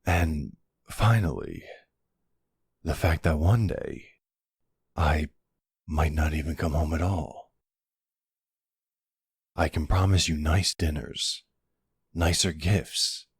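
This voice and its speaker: A man acts out a mafia boss smitten with his date, in a very deep, husky voice. He takes his time over every phrase, courteous and tender. His hushed, hesitant earnestness suits an intimate ASMR romance roleplay. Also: dry recording, clean studio sound, exaggerated voice. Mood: somber, sincere. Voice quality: low, gravelly, hushed. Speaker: audio roleplay voice actor.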